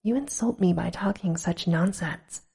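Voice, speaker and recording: A woman's voice: lowish voice, moderate pace, vocal fry, mellow, husky, exaggerated voice. A woman voicing a proud, easily offended mouse in a whisper. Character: proud touchy male mouse.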